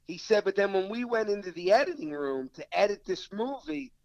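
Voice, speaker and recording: A man from South Philadelphia with a noticeable local accent, recounting film-set anecdotes in a casual interview. His voice sits a little high for a man and he slips into quoted dialogue as he tells it. The recording is dry and close. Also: a little fast, vocal fry, no room sound, muffled recording. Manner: animated anecdote-telling, quoting others in dialogue. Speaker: actor guest.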